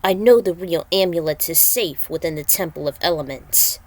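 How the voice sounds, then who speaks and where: A woman's voice: fairly low pitch, normal speed, dry recording, lightly acted, low-quality recording. A woman voicing a grave male ninja warrior in a fan fiction story, with a solemn, declarative delivery. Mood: grave, thoughtful.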